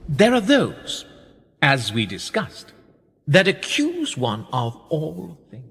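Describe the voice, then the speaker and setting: A man's voice: a little high, huge pitch swings, takes it slowly, creaky, fairly bright tone, breathy edge, heavily acted. Man playing swooping, pompous aristocrat in reverb. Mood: measured, wry. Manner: urbane, pompous, condescending. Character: smooth, patronising older British aristocratic power broker, knighted. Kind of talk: radio play acting. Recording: long reverberant hall echo.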